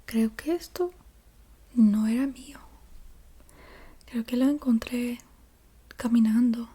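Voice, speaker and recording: A woman speaks in a very breathy, whispery ASMR style, on the higher side in pitch yet extremely soft and mellow. She rambles casually at a slow pace, hesitating and playfully repeating words under her breath. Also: fairly even intonation. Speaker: ASMR creator.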